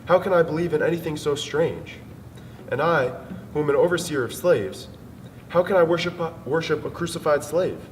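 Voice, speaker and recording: A man's voice: a little high, moderate pace, a little hiss or hum, voice pushed up, close to an everyday voice. Man acting an incredulous slave overseer, voice lifted. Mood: troubled doubt. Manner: questioning, incredulous, emphatic. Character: sceptical male overseer of slaves, bewildered and scornful. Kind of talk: dramatic literary reading. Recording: long hall reverb, music over the voice.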